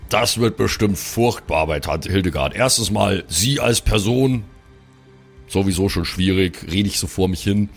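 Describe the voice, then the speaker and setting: A man's voice: low-pitched, normal speed, vocal fry, soft background music. A man playing a grumbling, weary adult son who reluctantly gives in, in an improvised fantasy audio play.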